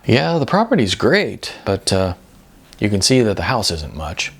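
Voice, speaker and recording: Man as a laid-back, gravelly older guy. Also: on the low side, huge pitch swings, a little slow, heavily acted. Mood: matter-of-fact. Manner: laconic, easygoing, hesitant. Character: laid-back, world-weary older man living modestly. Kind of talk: audiobook character dialogue.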